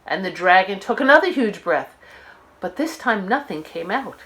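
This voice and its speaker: A woman reads a children's story aloud as a storyteller. Her delivery is expressive and dramatic. Her mid-pitched voice ranges widely up and down. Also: normal speed, low-quality recording.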